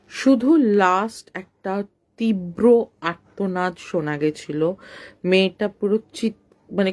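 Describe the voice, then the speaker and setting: A woman's voice: on the low side, darkish tone. A woman narrating a ghost tale in an informal, unscripted way, conversational and mixing in some English words. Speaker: horror story narrator.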